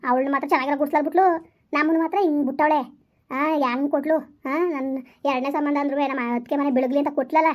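A woman's voice: high voice, steady tone, very fast, natural voice. A woman voicing a scheming rural mother who scolds and reassures her daughter while plotting revenge. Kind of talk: village family drama acting.

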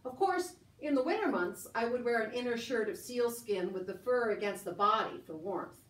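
Woman as boastful bashful chief puppet, playful, chatty, educational show, echoey room, fairly high voice. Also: lively intonation, normal speed, lightly acted. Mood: proud, explaining. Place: indoor room, puppet stage. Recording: echoey room, slightly distant mic.

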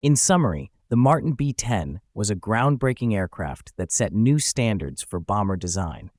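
A man narrating a scripted aviation history video in an even, factual reading. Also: fairly low pitch, normal speed, no room sound, studio quality.

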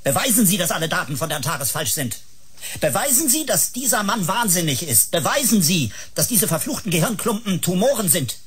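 An actor playing a stern, exasperated male clinic doctor in a science-fiction radio drama, with a husky voice. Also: high voice, big pitch swings, brisk, a bit bright, exaggerated voice. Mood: furious.

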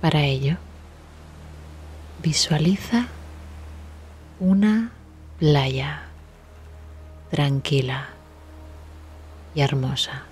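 Slow, hushed woman guiding meditation. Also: deep voice, a little hiss or hum. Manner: soothing, hushed, gentle delivery with long pauses. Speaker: guided meditation instructor. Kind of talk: guided relaxation meditation. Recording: steady ambient sea sound behind voice. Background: sea waves ambience.

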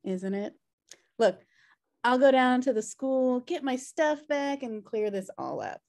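A woman's voice: high voice, lively intonation, a little slow, darkish tone. A woman reading the part of a sardonic, wisecracking slacker sister in a sitcom table read over a video call. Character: flippant, sardonic slacker sister, adult twin. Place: indoor room at home on video call.

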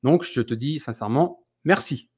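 A man's voice: mid-range voice, normal speed, a little mellow, breathy edge. A middle-aged man hosting an interview, speaking into a close studio microphone. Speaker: host, interviewer. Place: indoors, home studio. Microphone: close studio mic on boom arm.

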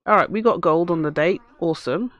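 A woman with a hoarse voice from a cough, chatting casually as she plays a life-sim game and talks to her characters. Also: lowish voice, a little fast, fairly bright tone, no room sound, highs cut off. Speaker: let's play host. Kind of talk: casual gameplay commentary.

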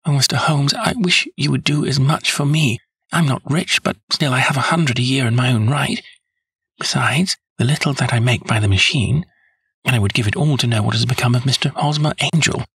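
A man's voice: medium pitch, a little fast, gravelly, a bit bright, breathy edge, dry recording, voice pushed up, exaggerated voice. A man voicing a nervous, chatty, good-humoured young woman in an audiobook story. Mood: anxious, earnest. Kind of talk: audiobook character voice.

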